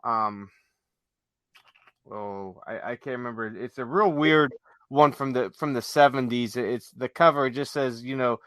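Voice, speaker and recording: A man with a bright, smooth voice co-hosts a casual podcast. The sound is dry and close, and he speaks haltingly, searching for words. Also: mid-range voice, no echo. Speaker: podcast co-host. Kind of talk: casual chat.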